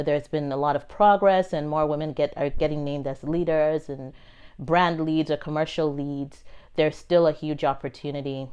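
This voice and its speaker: A woman's voice: sits low, a little mellow, clean tone. A middle-aged woman from Nigeria with a Nigerian accent tinged with American and British, answering interview questions in a thoughtful, earnest way. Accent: Nigerian, with American and British touches. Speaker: pharma executive guest.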